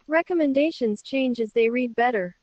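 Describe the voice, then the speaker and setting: A woman voicing a friendly young animated reading assistant in an app, giving scripted prompts in an encouraging way. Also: a little high, normal speed, dry recording, natural voice. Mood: friendly, instructive. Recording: dull app audio, dry.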